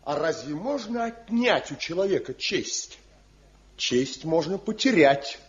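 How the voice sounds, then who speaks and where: An actor playing a wounded, proud young wanderer in an impassioned, theatrical Soviet radio drama style. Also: male voice, fairly high pitch, big pitch swings, a little slow. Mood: wounded pride. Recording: dull vintage radio recording.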